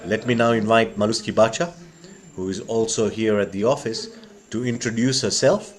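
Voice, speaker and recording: A man's voice: on the low side, fairly even intonation, normal speed. A man leading an online seminar as chairman, speaking in a polite, conversational facilitating style.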